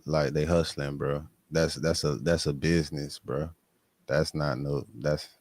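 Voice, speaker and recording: A man speaks in a very deep, bassy voice with almost no room sound. He hosts a reaction show, talking casually with heavy slang and swearing, repeating his points for emphasis. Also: a little mellow, no room sound. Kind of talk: casual profane reaction commentary.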